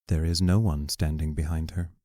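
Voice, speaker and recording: A man with a low voice narrates the stage directions of an audio drama. He is measured and descriptive, and the recording is close and dry. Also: steady tone, dark tone, dry recording. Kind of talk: scripted narration of play scene directions.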